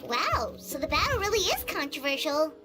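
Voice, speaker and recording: A tiny, chirpy game companion creature that asks curious questions in a very high, squeaky voice. The voice jumps around a lot. Soft music plays faintly behind it. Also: female voice, big pitch swings, moderate pace. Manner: curious and inquisitive.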